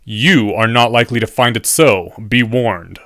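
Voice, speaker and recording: A man's voice: mid-range voice, animated, moderate pace, a bit bright, no echo, close to an everyday voice. A menacing professor, acted by a male narrator for an audiobook. He speaks formally, with biting sarcasm and a cutting temper, in a very dry, close recording. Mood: biting, cold.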